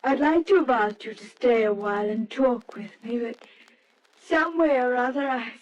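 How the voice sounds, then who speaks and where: An actress playing a shy, earnest, lonely bookshop woman in an old-time radio drama, with a gentle, hesitant way of talking. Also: fairly high pitch, normal speed, lo-fi sound, lightly acted. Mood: wistful, shy.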